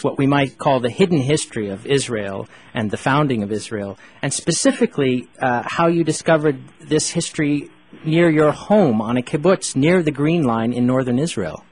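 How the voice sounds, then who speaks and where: A male radio host asking questions and reading event announcements in a clear announcer delivery. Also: a little high, a little mellow.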